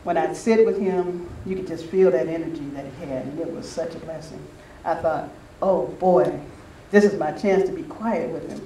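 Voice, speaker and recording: A man's voice: on the high side, normal speed, few pauses, dark tone. An older man giving an informal, anecdotal talk to a gathering, reminiscing in a conversational way. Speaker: speaker at a spiritual retreat. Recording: roomy, distant mic with faint noise.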